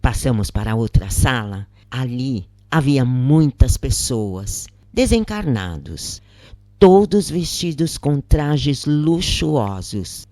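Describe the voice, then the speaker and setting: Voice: medium pitch, sing-song, takes it slowly, mellow, no room sound, clean studio sound. A narrator reading a scripted first-person story.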